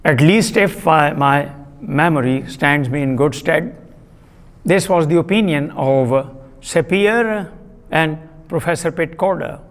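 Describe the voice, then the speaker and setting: Man, lecturer, unhurried, gravelly voice, echoing hall. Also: a little high. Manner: deliberate, repeats key terms, frequent uh fillers. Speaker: literature lecturer. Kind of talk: classroom lecture.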